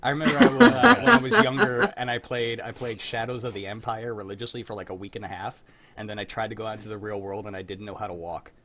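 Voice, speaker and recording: A man's voice: lowish voice, animated, fast, sharp tone, a little hiss or hum. A young man co-hosting a livestream, joking and a bit profane as he steers the talk. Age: young adult. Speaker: livestream co-host. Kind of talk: casual chat.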